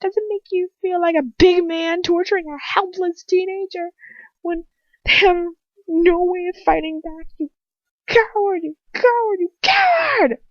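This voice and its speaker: A woman's voice: very high-pitched, expressive, unhurried, pitched above the performer's own voice. A woman voicing a furious, anguished teenage girl captive in raw emotional outbursts for an amateur audiobook. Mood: screaming rage. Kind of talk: amateur fan fiction audiobook acting. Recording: muffled roomy home recording.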